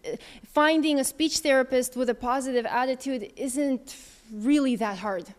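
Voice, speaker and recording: A woman's voice: sits high, normal speed. A woman in her thirties answering audience questions in a candid, conversational way, thinking aloud as she goes. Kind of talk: casual Q&A talk.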